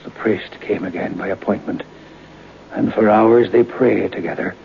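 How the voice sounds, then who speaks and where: An actor playing a knowing folk-tale storyteller, narrating an old-time radio drama in an unhurried way. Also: male voice, low-pitched, creaky. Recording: muffled, hissy old radio broadcast.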